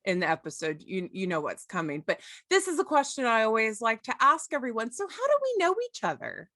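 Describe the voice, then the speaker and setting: A woman's voice: fairly high pitch, wildly swooping pitch, fairly quick, pushed and bright, no echo, studio quality. A woman hosting a podcast interview in an enthusiastic, effusive way. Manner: gushing, effusive. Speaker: podcast host.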